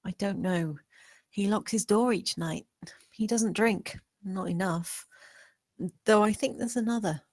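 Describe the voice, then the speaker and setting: A woman's voice: mid-range voice, a little slow, a little mellow, dry recording, clean studio sound, close to an everyday voice. A woman playing a bold, scheming young maid in a screenplay table read. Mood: quietly determined.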